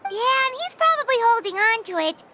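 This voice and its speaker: A woman voicing a small, childlike flying fairy companion in a video game, squeaky, chatty and exclamatory. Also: animated, brisk, bright, forceful tone, soft background music, exaggerated voice. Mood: doubtful. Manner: exclamatory, refers to herself by name. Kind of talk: video game character dialogue.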